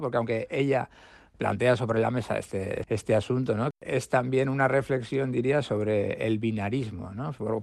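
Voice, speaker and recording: Spanish man, thoughtful and gravelly. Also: mid-range voice, a little mellow, dry recording. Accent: Spanish from Spain. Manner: thoughtful, analytical, frequent tag questions. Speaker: guest discussing a book. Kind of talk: literary radio conversation.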